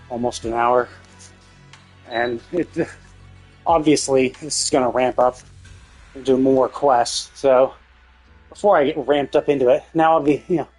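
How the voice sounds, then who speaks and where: A man live streaming a game, talking casually in a rambling, opinionated way with some swearing. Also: mid-range voice.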